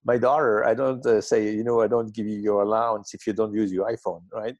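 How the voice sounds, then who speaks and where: A French-accented man in his fifties with a low, gravelly voice talks in halting bursts, full of ums and restarts. The sound is dry and close, with no room around it. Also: little pitch movement, a little mellow, no echo, lo-fi sound. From south of France. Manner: hesitant, many ums and restarts. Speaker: guest, tech company founder. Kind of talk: interview conversation.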